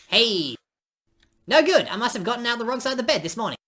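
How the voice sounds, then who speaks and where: A woman's voice: a little high, big pitch swings, fast talker, fairly bright tone, voice pushed up. A woman voicing an earnest, melodramatic heroic ant, declaiming every line with big emphasis. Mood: dramatic, determined. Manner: declaiming, over-the-top emphatic.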